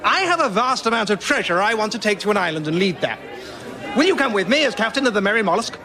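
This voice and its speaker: Pompous, high-voiced gentleman adventurer. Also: big pitch swings, quick pace, a crowd in the background. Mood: blithe storytelling. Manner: florid, old-fashioned, pompous. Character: pompous, cheerfully oblivious gentleman adventurer telling his tale. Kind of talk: comic storytelling, radio sketch.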